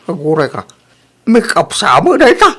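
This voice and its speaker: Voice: mid-range voice, wildly swooping pitch, moderate pace, fairly bright tone. A performer with an Ilocano accent playing a grumbling, complaining old husband and father in a radio comedy drama, with exaggerated exclamations. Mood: suspicious, nagging.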